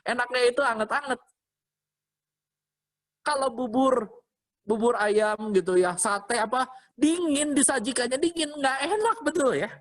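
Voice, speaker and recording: Animated male pastor preaching conversationally. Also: extremely high pitch, unhurried, long pauses. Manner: interactive, often checking with listeners using short questions; animated, exhorting, repeats phrases. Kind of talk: informal conversational sermon to an online congregation.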